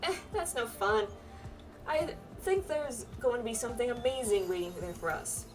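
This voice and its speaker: A woman's voice: on the high side, very animated, moderate pace, distant mic, faint music behind. A woman voicing a playful, impatient young female pilgrim from a fantasy game, with a whiny, mischievous manner. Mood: eager.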